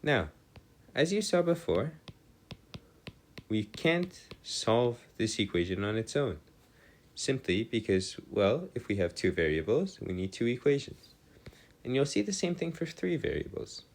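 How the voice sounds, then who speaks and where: A man's voice: mid-range voice, sing-song, unhurried. A man giving an informal maths lecture as a tutor, explaining in formal wording and often correcting himself as he goes. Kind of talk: informal lecture working through equations.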